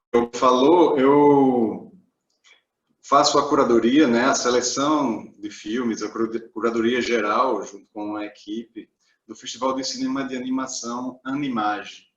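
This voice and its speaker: A man with a Brazilian Portuguese accent, giving a relaxed, conversational talk as a guest speaker over a video call. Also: mid-range voice, slow pace. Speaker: guest speaker, film curator. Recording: echoey, dull video call audio.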